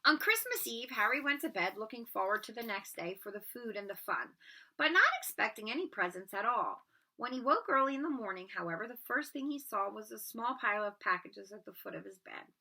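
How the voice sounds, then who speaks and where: A woman narrating a storybook aloud in an expressive read-aloud style. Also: on the high side, fairly quick, poor audio.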